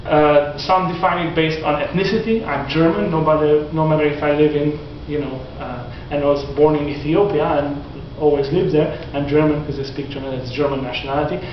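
A man in his forties giving a classroom lecture, animated and gesturing, often restarting his sentences. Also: a little high, brisk, hardly any pauses, a little hiss or hum. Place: classroom in front of whiteboard. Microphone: far camera. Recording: echoey classroom from far off, dull lo-fi.

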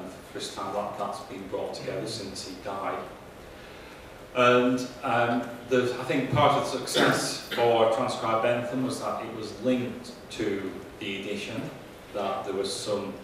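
A man's voice: on the low side, far from the mic. A man on a conference panel, speaking into a handheld mic with frequent ums and restarts. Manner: halting, many ums and restarts. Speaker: panelist, professor. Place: conference room, seated at panel table. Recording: echoey room heard from afar, noisy.

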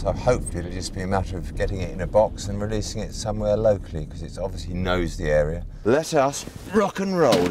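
A man rescuing a fox from a restaurant stairwell, chatting calmly to the camera and coaxing the animal softly. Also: low-pitched, noisy background. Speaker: wildlife rescuer. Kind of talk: on-the-job commentary.

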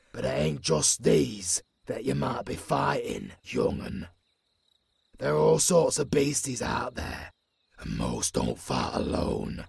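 A man with a British accent playing a tough old werewolf mentor in an audio roleplay, gruff but caring, with a growling, raspy voice and a commanding manner. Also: rumbling low, slight background noise, exaggerated voice. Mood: intense coaching. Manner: slow, deliberate, commanding.

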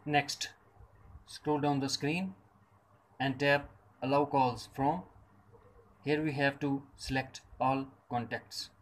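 A man narrates a scripted tech tutorial at a slow pace, with a mid-pitched voice. He gives instructions step by step, breaking them into short, separate phrases. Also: steady tone, poor audio. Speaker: tutorial presenter.

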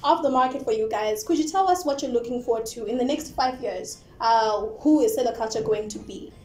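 A woman's voice: on the high side, brisk, darkish tone. A young woman with a Zimbabwean accent hosts a studio interview, asking playful, upbeat questions. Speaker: talk show host. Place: indoor TV studio. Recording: roomy studio with faint noise.

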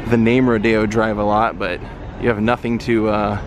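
A man with a fairly low voice narrates a casual walking tour outdoors, tossing out wry, offhand comments. He records on a camera mic as he strolls, and loud traffic and passing cars rumble behind him. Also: little pitch movement, moderate pace. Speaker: vlogger. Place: outdoors on a city shopping street. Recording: loud street noise behind.

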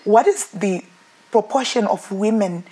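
Woman, 30s, husky mid voice, eager effusive TV host, lapel mic. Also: medium pitch, unhurried, mellow, low-quality recording. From Zimbabwe. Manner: enthusiastic, effusive. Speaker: talk show host. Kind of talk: TV talk show hosting. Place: indoor TV studio set, seated in armchair.

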